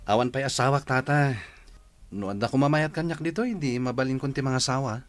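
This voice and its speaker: Respectful young village bachelor played by a man, Filipino accent, medium pitch, comedy drama. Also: a little fast, natural voice.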